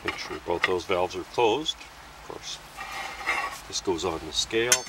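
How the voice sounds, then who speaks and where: A man giving a plain, methodical how-to voice-over about refilling camping fuel canisters, pausing between phrases. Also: sits low, noisy background. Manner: plain, methodical explaining with pauses. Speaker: gear demonstrator. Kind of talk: instructional voice-over.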